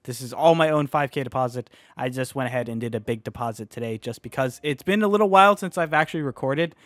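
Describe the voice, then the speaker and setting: A young man with a hoarse, fading voice from a cold, casually commenting on his own online case-opening battles, chatty and excitable, then dropping to a mutter. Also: medium pitch, fast, dry recording. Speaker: online gambling video creator. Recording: faint music behind.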